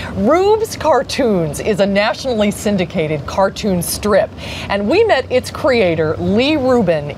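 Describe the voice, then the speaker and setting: A middle-aged woman, anchoring a local TV news feature in a bubbly, animated way. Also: medium pitch, a little hiss or hum. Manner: bubbly, animated, laughs often. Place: outdoor garden set. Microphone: lapel.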